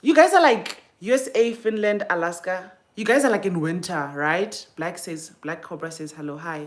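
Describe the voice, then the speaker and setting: A young South African woman hosts a casual livestream in a slightly low voice. She is chatty and informal, thinking aloud as she goes and often letting sentences trail off before picking up a new one. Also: normal speed. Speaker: livestream host. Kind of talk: casual chat while doing makeup.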